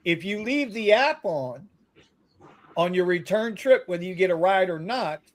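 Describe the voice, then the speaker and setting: A man's voice: high-pitched, expressive, moderate pace. A man co-hosting a rideshare live stream, talking bluntly and teasing in casual chat. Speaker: rideshare podcast co-host.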